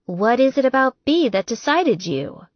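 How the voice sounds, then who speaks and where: A woman's voice: high voice, sing-song, moderate pace, dry recording, highs cut off, pitched above the performer's own voice. A woman voicing a young, wary romance heroine in an audiobook, pointed and teasing in manner. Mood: flustered.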